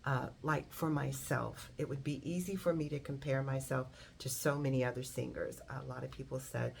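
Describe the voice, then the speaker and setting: A middle-aged woman with a very deep, husky voice, giving an earnest motivational talk and stressing key words deliberately. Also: fairly even intonation, darkish tone, slight background noise. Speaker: motivational coach and singer.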